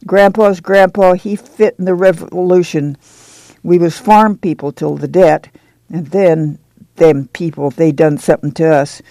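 A woman's voice: fairly low pitch, measured pace, no room sound. Proud farm mother, as a woman puts her on. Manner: plain rural drawl, steady and earnest. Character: weary, proud farm mother, middle-aged.

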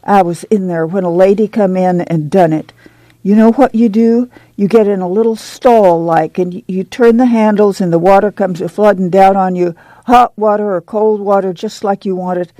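A woman reading aloud voices an excited young pregnant farm girl who gushes in a rural drawl, raising her voice a little. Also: on the low side, dry recording, natural voice, low-quality recording. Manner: gushing, rapid rural drawl.

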